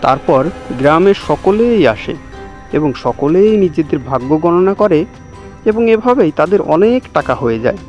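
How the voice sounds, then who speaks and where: A man's voice: high-pitched, expressive, normal speed, a little mellow, muffled recording. A man reading scripted narration for a cartoon story. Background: background music.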